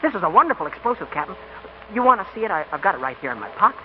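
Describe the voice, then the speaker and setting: Eager, high-voiced boy inventor. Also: big pitch swings, rapid-fire. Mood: excited. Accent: American. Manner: stammering, pleading, earnest. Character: eager, fast-talking boy inventor and train candy vendor. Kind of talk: 1940s radio acting. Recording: dull, noisy old radio broadcast recording.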